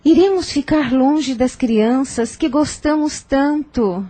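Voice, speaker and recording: Actress as doting, sorrowful older grandmother, mellow, fairly high voice, audio-drama delivery. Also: slow. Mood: sad, pleading. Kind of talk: audio novel dramatic acting.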